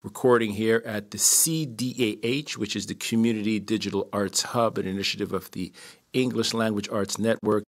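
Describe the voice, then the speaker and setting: A man with a low voice hosts a relaxed podcast interview in a community studio. He talks to listeners directly and echoes his guest's answers back to her. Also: normal speed. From Montreal, Canada. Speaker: podcast host. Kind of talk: casual interview.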